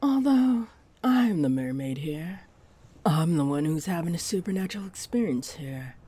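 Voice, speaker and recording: A woman's voice: fairly low pitch, lively intonation, dark tone, slightly rough, dry recording. A woman playing a flirty, scheming mermaid in a roleplay audio drama, teasing and coaxing her listener. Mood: admiring. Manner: teasing, coaxing, slow and deliberate. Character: flirty, scheming female mermaid, sweetly tempting a pirate.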